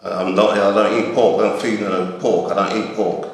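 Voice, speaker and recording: Actor as deep-voiced, halting psychiatric patient, echoey. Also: male voice, steady tone, natural voice. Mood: wary, stubborn. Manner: repetitive, halting, insistent. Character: unwell, suspicious young male psychiatric patient fixated on music. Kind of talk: acted training film dialogue. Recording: echoey room.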